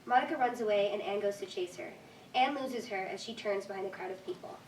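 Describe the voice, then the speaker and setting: A woman's voice: medium pitch, fast. Woman narrator in an echoey room. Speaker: narrator reading stage directions. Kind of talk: scripted narration at a staged reading. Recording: distant echoey room, faint noise.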